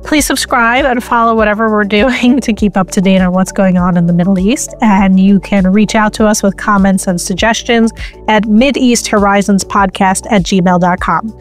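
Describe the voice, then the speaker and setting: A woman's voice: mid-range voice, steady tone, normal speed, faint music behind. A woman co-hosting a podcast, asking plain, direct questions. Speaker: podcast co-host.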